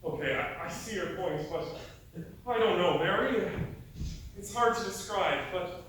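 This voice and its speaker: A man's voice: sits high, unhurried. An actor playing a spry, optimistic emigrant man in a stage drama, easygoing and earnest. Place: indoor theatre stage. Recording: echoey stage from far off, faint noise.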